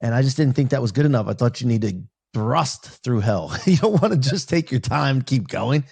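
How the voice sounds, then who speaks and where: A man's voice: mid-range voice, lively intonation, fairly quick, creaky, a little mellow, slightly rough, no room sound. A man hosting a casual interview, talking with energy and emphasis in loose slang. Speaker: podcast host.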